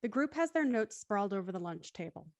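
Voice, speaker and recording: A woman's voice: medium pitch, sing-song, a little fast, no room sound. A woman narrating scripted stage directions for a drama.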